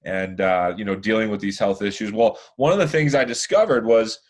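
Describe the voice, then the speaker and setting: A man in his forties with a deep, hoarse voice, talking at length as a podcast guest, rambling with lots of fillers and restarts. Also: fairly quick. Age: 40s. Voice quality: deeper, hoarse voice. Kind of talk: casual chat.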